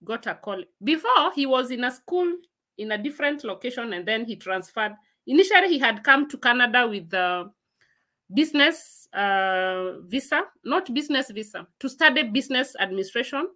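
Bright high-voiced woman hosting a livestream. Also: expressive, normal speed. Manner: chatty, laughs often, reads comments aloud and answers them. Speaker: livestream host. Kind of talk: casual livestream chat answering viewer comments. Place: room at home, indoors.

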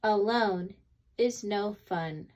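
A woman reading a children's picture book aloud expressively, with deliberate dramatic pauses between phrases. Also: medium pitch, darkish tone. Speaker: read-aloud storyteller.

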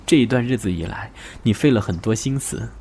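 An actor playing a reserved, wounded young man in an audio drama, speaking in a measured, resigned, serious way. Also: on the low side, creaky, dark tone, slight background noise. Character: reserved, wounded young man setting terms to a former lover. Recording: music behind the voice. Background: music.

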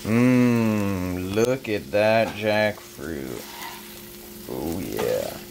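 A man's voice: on the low side, lively intonation, drawn-out words, creaky, a little mellow. A young man in his twenties, chatting casually with lots of fillers as he cooks and tastes food in a home kitchen. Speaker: home cooking vlogger. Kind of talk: casual cooking vlog. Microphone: camera on the counter, across the kitchen. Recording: roomy, distant camera audio with noise.

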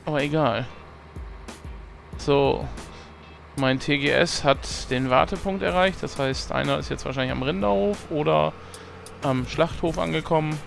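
Hoarse man chatting over gameplay. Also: fairly high pitch, unhurried, faint music behind. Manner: chatty, self-mocking, thinks aloud with fillers. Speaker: let's play host. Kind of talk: casual gameplay commentary.

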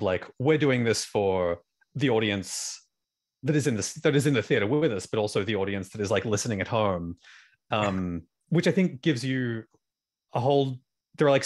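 A young man with a fairly low voice chats as a guest on a video call. He speaks casually into a studio microphone, halting as he works out his thoughts. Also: animated. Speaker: sound designer, panel guest. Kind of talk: casual conversational explanation. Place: indoor room on a video call. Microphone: studio microphone on a boom, headset.

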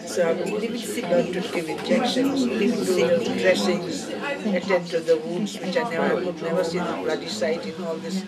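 An older woman who worked as a clinic nurse, recounting her work in a lively way. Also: lowish voice, unhurried. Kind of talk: storytelling in an interview. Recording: distant mic with heavy crowd noise.

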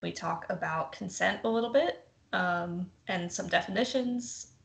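A woman's voice: fairly low pitch, unhurried, gravelly. A young woman from Mississippi explaining a campus program over a video call, chatty and full of ums. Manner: chatty, frequent ums and likes. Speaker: campus prevention educator.

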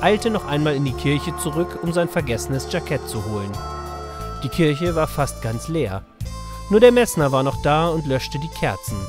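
A man narrates a children's adventure audio drama. His voice sits a little high, and he reads in a scripted storytelling style. Music plays behind him.

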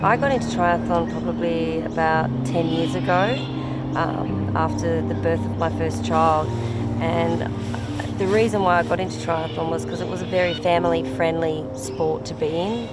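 A woman in her early forties, a triathlete, speaking in a measured, thoughtful way with pauses in a documentary interview outdoors. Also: fairly low pitch, steady tone. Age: 40s. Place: outdoors in a park. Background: music.

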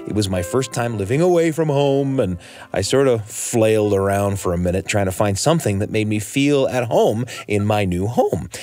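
Animated low-voiced American storyteller. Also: male voice. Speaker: radio host and storyteller. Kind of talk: scripted personal storytelling for radio.

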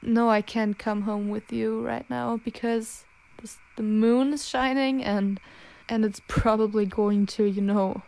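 A woman playing an anxious, gentle young werewolf in an intimate roleplay, speaking in a halting, pleading manner. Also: fairly high pitch, steady tone, vocal fry, rough recording. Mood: worried, reassuring. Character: anxious, self-loathing young female werewolf, protective and gentle. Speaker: amateur roleplay voice actor. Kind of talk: intimate ASMR girlfriend roleplay.

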